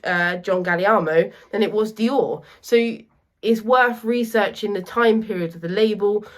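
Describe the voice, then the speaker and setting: A woman from the UK, a luxury reseller co-hosting a vlog, explaining pricing in a practical, instructive way. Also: mid-range voice. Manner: practical, instructive, quick asides. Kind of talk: casual explaining to camera.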